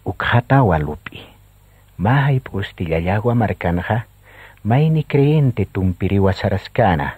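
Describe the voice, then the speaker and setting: Man, radio drama narrator, husky, scripted delivery, dull recording. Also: fairly low pitch, animated, slow, no room sound. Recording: dull, husky-sounding recording, faint music. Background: faint music.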